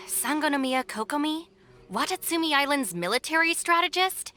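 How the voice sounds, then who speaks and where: A dry no-nonsense young deputy lady, game dub, bright voice, slightly high. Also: animated, moderate pace, faint music behind. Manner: dry, matter-of-fact, unimpressed. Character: sensible, exasperated young female gang deputy. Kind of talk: animated video game dub acting.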